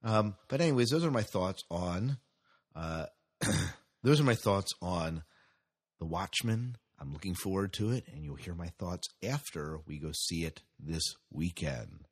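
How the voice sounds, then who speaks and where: A man's voice: deep voice, lively intonation, unhurried, husky. A man hosting a podcast with casual solo commentary, rambling and hesitant, often repeating his phrases. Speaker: podcast host.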